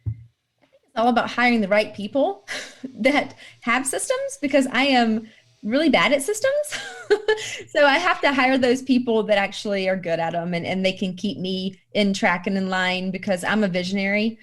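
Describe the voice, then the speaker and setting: A woman's voice: mid-range voice, animated, moderate pace. A woman in her thirties answering interview questions in a casual, upbeat way and laughing readily. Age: 30s. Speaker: guest.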